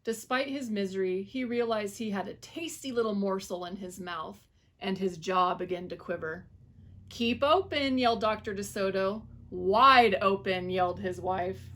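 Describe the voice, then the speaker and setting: A woman reading a picture book aloud to children, in an expressive, animated storytelling manner. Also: mid-range voice, normal speed.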